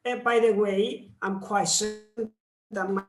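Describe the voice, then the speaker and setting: A man with a Greek accent, a member of parliament, giving a formal seminar speech in a slow, measured way with pauses. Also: high-pitched, flat delivery, reverberant.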